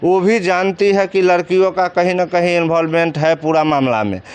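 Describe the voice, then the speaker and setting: A man with a clear, smooth voice pitched a little high speaks in a Bihari accent. He explains things informally, as an interviewed commentator, posing questions and then answering them himself. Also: fairly even intonation, fairly quick. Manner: colloquial, explanatory, poses and answers own questions. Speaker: commentator interviewed about crime cases.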